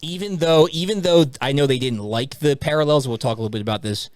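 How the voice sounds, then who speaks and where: A man's voice: a little high, fast talker, no echo, soft background music. A man hosting a podcast, chatting casually and animatedly about movies and often repeating what others say. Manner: animated, quizzes co-hosts and repeats their answers. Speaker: podcast host. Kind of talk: casual movie-review chat.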